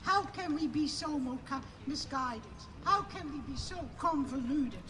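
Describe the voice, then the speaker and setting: A woman with a Dutch accent giving an impassioned, declamatory protest speech outdoors at a rally, building to loud emphatic peaks. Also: sits high, lively intonation, a little slow. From Netherlands. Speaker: protest speaker. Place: outdoor rally on parliament steps. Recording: distant mic, loud outdoor noise.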